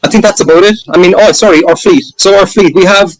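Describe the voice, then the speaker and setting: A man's voice: high-pitched, quick pace, rough recording. A man with an Irish accent, presenting his sailing resort in a chatty, enthusiastic way and often correcting himself. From Ireland. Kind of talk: casual presentation on a video call.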